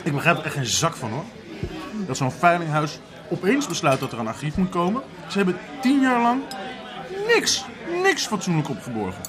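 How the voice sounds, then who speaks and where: An actor playing an excitable, sarcastic young man in a naturalistic Dutch radio drama, speaking emphatically. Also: a little high, normal speed, a crowd in the background. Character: excitable, sarcastic young man, griping about his job.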